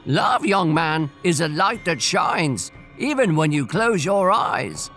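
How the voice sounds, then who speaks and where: A man voicing a calm, measured, ancient bearded sage in a storybook tale. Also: a little high, soft background music, heavily acted.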